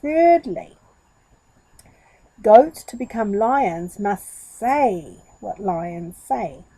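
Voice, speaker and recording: A woman's voice: mid-range voice, lively intonation, very slow, mellow, no room sound, exaggerated voice, low-quality recording. A woman voicing a knowing male monkey in a children's story, lecturing with dramatic deliberation. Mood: instructing.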